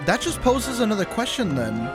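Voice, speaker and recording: A man's voice: sits high, sing-song, moderate pace. A man giving casual, exclamatory live commentary on a game, swearing freely. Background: game music.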